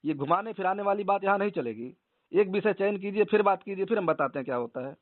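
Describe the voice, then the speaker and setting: A man speaks in a high voice over a narrow, tinny phone line. He debates in an argumentative, insistent way and repeats his points. The sound is dry, like a recorded call. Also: animated, fast talker, no echo. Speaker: organisation leader debating a caller.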